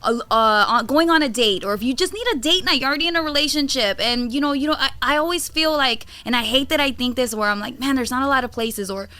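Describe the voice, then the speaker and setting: A woman from Florida hosting a podcast, talking in an animated, enthusiastic way with many fillers. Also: high-pitched, quick pace, hardly any pauses, clear, resonant tone, no room sound. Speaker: podcast host. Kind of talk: casual interview chat.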